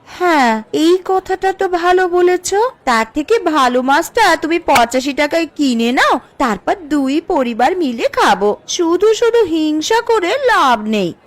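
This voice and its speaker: A woman's voice: high-pitched, expressive, a little slow. A woman voicing a competitive, emphatic housewife in a Bengali family cartoon. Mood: friendly, agreeable. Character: jealous, competitive young housewife, quick to relent.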